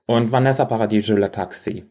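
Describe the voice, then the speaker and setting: A man's voice: fairly low pitch, fast, mellow. A man co-hosting a casual podcast, chatting in a teasing, playfully blunt way. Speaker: podcast co-host. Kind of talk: casual banter chat.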